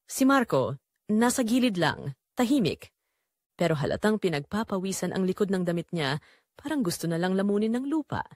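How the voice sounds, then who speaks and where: A woman's voice: mid-range voice, very animated, moderate pace, darkish tone, dry recording, clean studio sound. A woman reading dramatic first-person scripted narration in an emotional, theatrical way.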